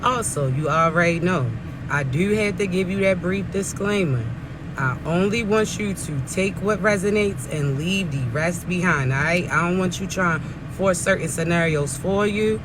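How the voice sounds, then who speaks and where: A raspy-voiced man sits high in his range as he talks viewers through a spiritual message. He speaks in an earnest, coaching way and keeps pulling listeners back in. Car and street sounds run clearly behind him outdoors. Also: moderate pace. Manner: earnest, coaching, repeats "chosen ones" and "see". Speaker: spiritual reader. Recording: outdoor traffic noise behind.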